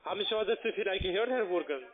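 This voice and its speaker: A high-voiced man speaks with a foreign, non-native accent. He sells investments over a thin, tinny phone connection, stumbling politely through broken phrasing in a formal sales pitch. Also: expressive, very fast. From Slovakia. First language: not German. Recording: narrow-band, tinny phone line.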